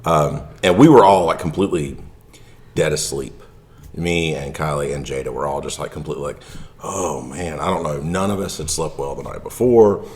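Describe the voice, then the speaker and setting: A man with a very deep voice hosts a casual podcast. He talks in a loose, rambling way, telling anecdotes as he goes. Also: normal speed, a little mellow. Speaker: martial arts podcast host.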